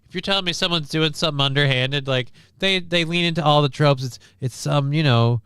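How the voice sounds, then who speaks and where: A man's voice: a little high, brisk, bright, forceful tone, smooth, no room sound, clean studio sound. A man hosting a livestream, giving casual, profane movie commentary in a blunt, sarcastic, ranting manner. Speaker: livestream host.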